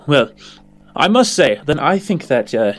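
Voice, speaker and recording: A man's voice: on the high side, sing-song, a little slow, fairly bright tone. A man playing a smug, genteel hired rogue. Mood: smugly debating. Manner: genteel, condescending, calls listener dear and darling.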